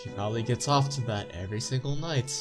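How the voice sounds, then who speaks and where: A man's voice: medium pitch, fast talker. A man with a stuffy nose reading visual novel narration aloud as a let's play narrator, adding casual commentary. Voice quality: stuffy-nosed. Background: game music.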